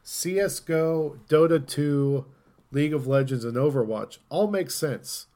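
A man hosting a casual gaming podcast, talking in an opinionated way and thinking out loud as he goes. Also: a little high, measured pace. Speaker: podcast host.

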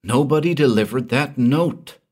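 A man's voice: medium pitch, unhurried, a little mellow, voice pushed up, close to an everyday voice. A man voicing a panicked, wealthy middle-aged man who pleads hysterically for help. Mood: shaken.

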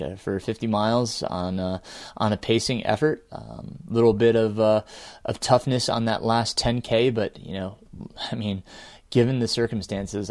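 Halting, low-pitched man reflecting aloud. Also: darkish tone, no room sound. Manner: halting, hesitant, with frequent filled pauses and small chuckles. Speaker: podcast host and amateur ultrarunner. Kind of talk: reflective unscripted audio-journal monologue.

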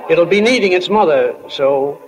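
An actor playing a plain, folksy, reflective small-town narrator in a 1940s radio drama. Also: male voice, on the high side, animated, normal speed, close to an everyday voice. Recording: dull old 1940 radio recording, clear music behind.

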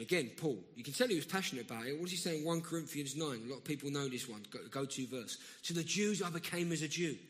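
A man with a South London accent preaching a sermon in a conversational way, often restarting and breaking into emphatic bursts. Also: on the high side. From South London, England. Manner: conversational, self-interrupting, rising to emphatic bursts. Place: church hall. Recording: long church reverb.

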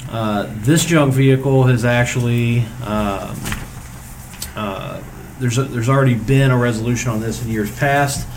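A man's voice: medium pitch, a little slow. A man on township staff reporting at a public board meeting, hesitant with many fillers and restarts. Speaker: township zoning staff member. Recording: distant mic, noisy room.

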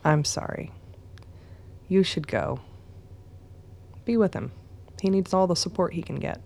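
A woman's voice: lowish voice, measured pace, gravelly, a little mellow. A woman playing a small, frank young woman in a radio drama, with a casual, teasing, reassuring manner.

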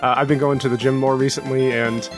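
A man's voice: mid-range voice, level tone, fast talker, music in the background. A man co-hosting a casual chat show in a playful, joking manner. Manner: playful, jokey. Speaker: podcast co-host.

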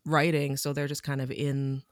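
A woman in her thirties, a musician guest on a podcast, talking in a laid-back way with soft laughs and many ums. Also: very deep voice, flat delivery, moderate pace, no room sound, studio quality. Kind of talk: casual interview chat.

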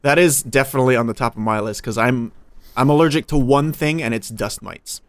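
A man's voice: medium pitch, a little fast, dry recording. A man in his thirties co-hosting a podcast, joking and teasing in casual chat. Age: 30s. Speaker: podcast co-host.

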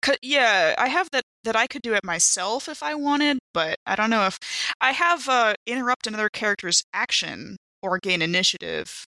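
A woman's voice: a little high, animated, moderate pace, pushed and bright, dry recording, low-quality recording. A woman chatting casually and playfully as a player at a tabletop game.

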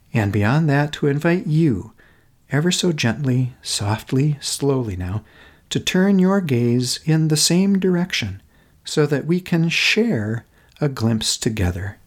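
Podcast host: American male, middle-aged, mid-pitched and very expressive, contemplative scripted delivery, gentle and deliberate. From Minnesota, United States. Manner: contemplative, gentle, deliberate phrasing with emphatic repetition. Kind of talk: reflective scripted narration.

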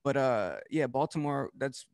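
A man's voice: mid-range voice, lively intonation, a little slow, gravelly, darkish tone, smooth, dry recording, clean studio sound. A man from Delaware, a DJ and podcast host, talking loosely as an interview guest, with many fillers and restarts. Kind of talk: casual interview talk.

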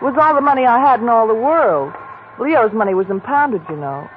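A woman's voice: fairly high pitch, lively intonation, brisk, close to an everyday voice. A woman playing a coaxing old flame. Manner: pleading, coaxing. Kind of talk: 1940s radio acting. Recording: tinny old radio broadcast with faint hiss.

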